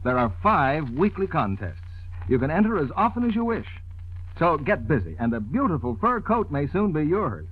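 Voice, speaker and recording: A man's voice: a little high, very animated, normal speed, breathy edge, narrow, tinny audio. An American man working as a radio announcer in scripted 1940s style, on a vintage broadcast.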